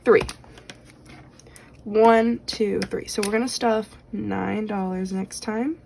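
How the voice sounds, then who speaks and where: A woman at a middle pitch talks loosely and chattily, filling her sentences with fillers and counting money out loud. Her voice is a little worn, as if she is starting to lose it. Faint clicking sounds can be heard in the background. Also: fairly even intonation, slow pace, vocal fry, slight background noise. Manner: chatty, rambling with many fillers; counts bills aloud. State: slightly losing her voice. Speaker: budgeting vlogger. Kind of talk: casual chat while cash stuffing.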